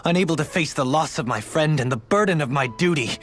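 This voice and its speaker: An actor playing a refined, melancholy young lord in a video game, speaking in formal, eloquent, measured phrases. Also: male voice, on the high side, animated, faint music behind, lightly acted. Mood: sorrowful, regretful. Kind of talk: dramatic video game voice acting.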